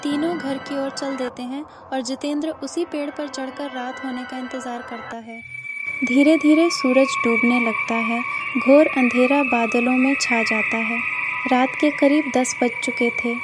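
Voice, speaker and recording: A female narrator reads a scripted animated horror story. Her voice is very high in pitch, and music is clearly present behind her. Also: measured pace.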